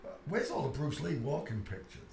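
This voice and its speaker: A man's voice: a little high, very animated, a little fast, vocal fry, a little mellow, a little hiss or hum. A man hosting his channel, talking insistently in a casual, rambling monologue while going through pictures, with many asides and "uh"s. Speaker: channel host. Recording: echoey room with faint ambience.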